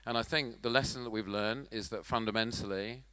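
40s guy hosting a conference panel, bright crisp tone, keeps things moving. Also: fairly low pitch, steady tone, no room sound. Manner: brisk, laughs easily, steering the chat. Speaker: panel moderator and company chairman. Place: large conference hall stage. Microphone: handheld mic.

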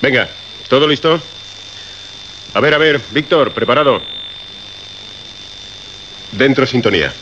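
An actor playing a brisk, commanding radio director who keeps calm, in a radio drama. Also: male voice, medium pitch, noisy background, natural voice. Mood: brisk, reassuring. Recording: dull radio recording under loud music.

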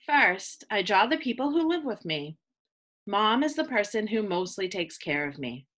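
A woman with a bright, forward voice reads a picture book aloud for young children. She speaks as a teacher would, with expressive phrasing at a medium pitch. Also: normal speed. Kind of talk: children's read-aloud, scripted narration.

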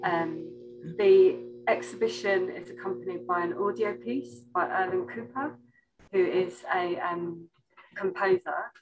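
A woman's voice: on the low side, measured pace, dull audio, over music. Hesitant woman curator on a video panel. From an island. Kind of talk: informal panel talk about an exhibition. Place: indoor room on a video call. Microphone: video call.

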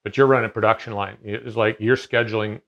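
A man's voice: on the low side, fairly even intonation, fast, gravelly, dull audio. A man in his fifties explaining a CNC machine in casual conversation, talking in a loose, rambling way with many fillers and restarts, seated in a large garage workshop.

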